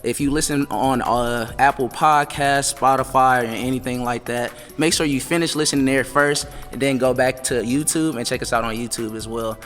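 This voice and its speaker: A man's voice: mid-range voice, steady tone, normal speed, few pauses, a bit bright, big-room sound, soft background music. A man hosting a casual podcast chat in a gym, talking in a loose, laid-back way. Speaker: podcast host.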